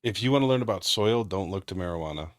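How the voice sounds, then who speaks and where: Very deep-voiced man, measured guest. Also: expressive, no room sound, studio quality. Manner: measured, explanatory. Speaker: guest, cannabis grower. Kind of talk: interview answers.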